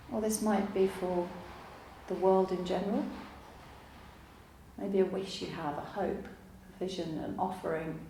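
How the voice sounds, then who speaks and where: A woman teaching yoga nidra, guiding a relaxation meditation in a calm, soothing, unhurried way with long pauses. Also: medium pitch. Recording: roomy echo, faint background noise.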